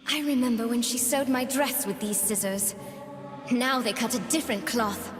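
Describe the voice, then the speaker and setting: A woman voicing a sweet, hopeful young doll brought to life in a video game, earnest, wistful and softly theatrical. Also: on the high side, normal speed, close to an everyday voice. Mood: earnest resolve. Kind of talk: video game character voice lines. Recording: long reverb, music behind.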